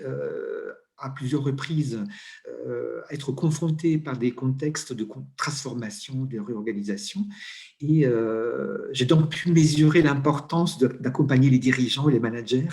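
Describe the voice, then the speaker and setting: A man's voice: medium pitch, a little mellow. Hesitant Italian man speaking French. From Italy. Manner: hesitant, many fillers and restarts. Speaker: interview guest, coach and HR consultant. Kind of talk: conversational interview.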